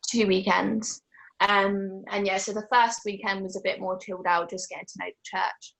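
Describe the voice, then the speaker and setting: Creaky-voiced young English woman. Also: medium pitch, steady tone, normal speed, a bit bright, low-quality recording. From England. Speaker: gap-year team member. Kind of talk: casual group presentation.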